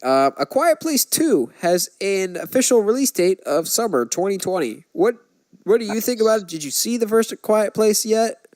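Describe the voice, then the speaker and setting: A man's voice: high-pitched, big pitch swings, normal speed, darkish tone, no echo. A man co-hosting a movie podcast, chatting casually and enthusiastically about films.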